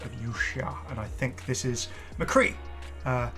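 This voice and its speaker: A man's voice: medium pitch, unhurried, fairly bright tone. A man hosting a gaming video, giving casual, chatty and enthusiastic commentary with rambling asides. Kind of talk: casual game showcase commentary. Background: music.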